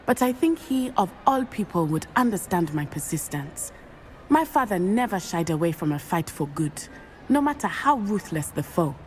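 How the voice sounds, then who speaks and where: A woman playing an earnest, determined teenage schoolgirl witch in a video game, with a southern African accent and a measured, formal way of talking. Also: lowish voice, very animated, slight background noise. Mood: resolute. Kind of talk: video game character acting.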